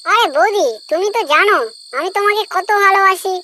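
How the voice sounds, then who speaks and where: A man with a West Bengal accent voicing a cheeky, boozy young village man in a crude comedy cartoon, bantering in slang through a pitch-raising effect. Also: brisk, heavy background noise. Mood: smooth-talking. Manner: bantering, foul-mouthed. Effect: pitch-raised cartoon voice. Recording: loud music over the voice.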